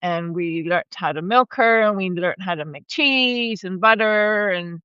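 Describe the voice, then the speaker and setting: A woman's voice: mid-range voice, a little slow, clear, resonant tone, dry recording, dull audio. A woman in her forties, a regenerative rancher and educator who grew up in Argentina and Brazil, telling her story in a chatty way, laughing often and restarting her sentences. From born in Argentina, raised in Brazil. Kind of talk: casual conversational storytelling. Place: home, live video call. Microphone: video call microphone.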